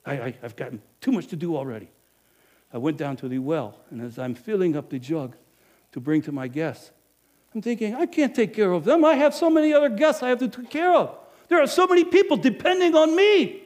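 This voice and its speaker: A man acting out a middle-aged innkeeper in a dramatic first-person monologue, emphatic and animated, swinging between loud and hushed. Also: mid-range voice, a little fast, natural voice. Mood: guilty. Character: middle-aged male innkeeper, money-minded and guilt-ridden. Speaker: actor.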